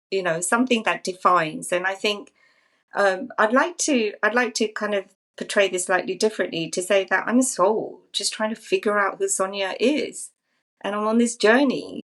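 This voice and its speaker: A woman's voice: lowish voice, steady tone, moderate pace, fairly bright tone. Reflective woman in her fifties, from Zambia. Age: 50s. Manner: thoughtful, musing, repeats phrases. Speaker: podcast guest. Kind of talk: reflective personal storytelling.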